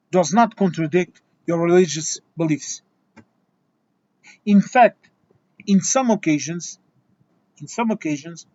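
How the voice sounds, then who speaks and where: A man's voice: sits high, warm tone, dry recording, lo-fi sound. A man from Portugal, a Portuguese native speaker, giving an exasperated, lecturing opinion monologue full of restarts and repeated words. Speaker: video essayist.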